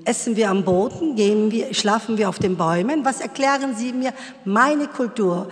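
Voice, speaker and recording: A middle-aged woman telling a story at a panel discussion, animated and forceful, speaking into a table microphone. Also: a little high, normal speed. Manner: animated, gestures a lot, grows forceful. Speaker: panel guest. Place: wood-panelled hall, seated at panel table. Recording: long hall reverb.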